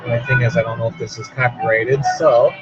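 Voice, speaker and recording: A man's voice: mid-range voice, fairly even intonation, a little fast. A man hosting a radio hobby recording, chatting casually and off the cuff. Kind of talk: casual off-the-cuff commentary while tuning. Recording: muffled, loud radio playing behind. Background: music from a shortwave radio.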